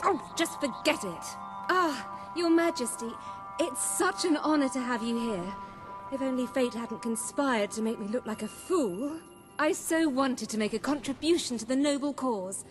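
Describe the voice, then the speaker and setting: A woman's voice: high-pitched, moderate pace, music in the background, lightly acted. An actress playing a wealthy, haughty noblewoman in a video game, with a gushing, melodramatic manner. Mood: impatient. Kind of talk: theatrical video game acting.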